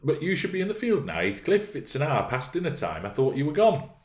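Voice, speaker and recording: A man's voice: on the high side, wildly swooping pitch, a little fast, echoey room, voice pushed up. A man voicing a haughty, easily irritated teenage girl with a peevish, scolding manner in an audiobook. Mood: uneasy. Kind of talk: audiobook character voice.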